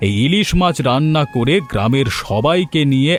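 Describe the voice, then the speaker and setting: A man voicing a wise, modest middle-aged village benefactor in a cartoon, speaking gently and reasoning things through. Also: fairly high pitch, lively intonation, moderate pace, faint music behind, natural voice. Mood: kindly. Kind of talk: cartoon voice acting.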